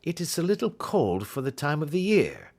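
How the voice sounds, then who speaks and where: Audiobook sleuth, played by a male narrator: raised pitch, very animated contours, precise, bland and imperturbable. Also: moderate pace, full character voice. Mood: bland, unruffled. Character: cool, keen, unflappable male detective. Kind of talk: audiobook character acting.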